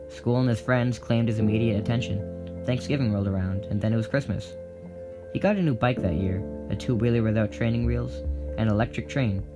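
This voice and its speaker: A man's voice: mid-range voice, low-quality recording. A man reading scripted story narration for an audio drama. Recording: prominent guitar music behind. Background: plucked guitar music.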